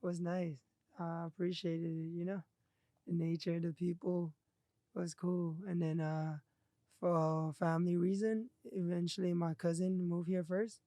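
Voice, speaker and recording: A man from Thailand with a Thai accent, a guest telling his story with halting, hesitant speech full of fillers. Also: high voice, flat delivery, warm tone, no room sound. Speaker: guest, clothing designer. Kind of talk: casual interview storytelling.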